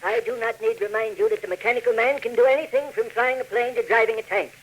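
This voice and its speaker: A man's voice: very high-pitched, fast talker, a bit bright, low-quality recording. A man playing an agitated, grave scientist in 1940s radio acting, speaking in a formal, earnest way on a hissy old recording. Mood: grave, ominous. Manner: formal, earnest, alarmed speech. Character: agitated male scientist inventor, grave and helpless.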